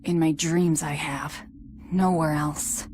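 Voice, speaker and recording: A woman's voice: sits low, fairly even intonation, slow pace, darkish tone, slight background noise. A woman voicing a brooding, haunted young warrior in a dramatic anime-style game. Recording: music clearly behind the voice.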